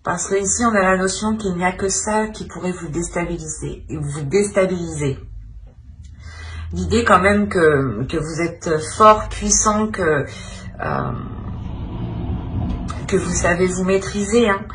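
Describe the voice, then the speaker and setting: A woman with a fairly low voice gives an off-the-cuff tarot reading, speaking directly to the viewer. She circles back over her phrases and often adds small tag questions. There is faint noise behind her voice. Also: a little slow, fairly bright tone, low-quality recording. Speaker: tarot reader, channel host.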